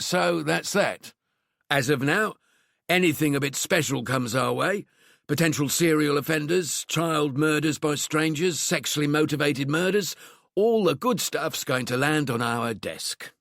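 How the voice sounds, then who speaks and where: Man playing a calm, commanding detective boss. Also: mid-range voice, creaky, dry recording, clean studio sound, pitched above the performer's own voice. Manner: matter-of-fact, commanding. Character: calm, authoritative male detective squad leader.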